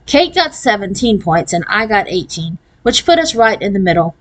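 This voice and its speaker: A woman's voice: medium pitch, very animated, moderate pace, rough recording. A woman reading a children's novel aloud as a first-person narration. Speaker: storybook reader.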